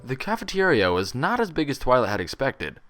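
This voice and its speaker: A man's voice: mid-range voice, wildly swooping pitch, a little fast, over loud music. A man narrating fan fiction as a scripted, read-aloud story.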